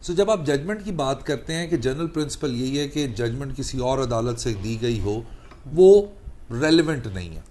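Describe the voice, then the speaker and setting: A man's voice: medium pitch, lively intonation, moderate pace, darkish tone, slight background noise. A man with a Pakistani accent explaining law in a didactic, conversational lecture, mixing in English legal terms. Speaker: lawyer and legal trainer, the guest lecturer.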